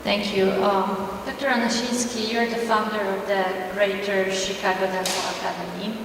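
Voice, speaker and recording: A woman moderating a conference panel, asking questions in a soft-spoken way. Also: mid-range voice, little pitch movement, normal speed, distant mic. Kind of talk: interview questions. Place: large conference hall. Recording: echoey hall, mic from afar, noisy.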